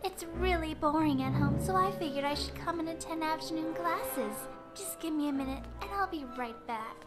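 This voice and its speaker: Woman acting teenage anime schoolgirl, extremely high pitch, sweet, composed, gentle, loud background music. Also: normal speed, close to an everyday voice. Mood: friendly. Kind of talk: anime fandub dialogue.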